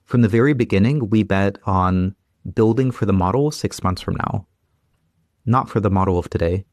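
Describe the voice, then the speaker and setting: A man in his thirties from Ukraine speaks as an interview guest in a low voice. He talks in halting bursts, restarting sentences and leaning on fillers. The sound is dry and close, with no room around it. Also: a little mellow, no room sound. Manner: halting, restarts often, many "like" and "you know". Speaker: guest, engineering lead. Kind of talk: casual interview talk.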